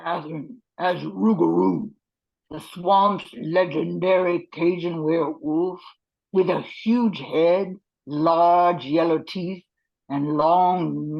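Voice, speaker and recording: Slow, creaky-voiced older man. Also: on the high side, muffled recording. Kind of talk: reading a scripted character introduction.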